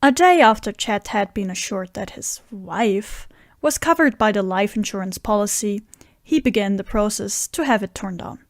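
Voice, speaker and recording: A woman's voice: mid-range voice, normal speed, no room sound, clean studio sound, natural voice. A woman playing an earnest, scheming friend who narrates an audio drama tale. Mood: reflective narration. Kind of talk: audio drama dialogue and first-person narration.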